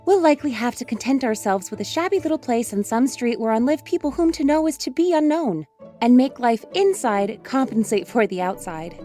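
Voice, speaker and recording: A woman playing a practical, cautious young student in audiobook drama dialogue, with a brisk, matter-of-fact manner. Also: on the high side, lively intonation, mellow, lightly acted. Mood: cautioning. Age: young adult. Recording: music playing behind the voice.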